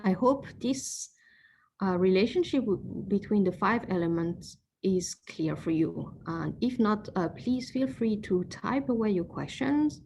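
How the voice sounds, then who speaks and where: A woman's voice: mid-range voice, lively intonation, measured pace, vocal fry, darkish tone, a little hiss or hum, rough recording. Earnest woman explaining with many self-corrections. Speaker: acupuncturist giving an online presentation. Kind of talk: informal lecture with slides.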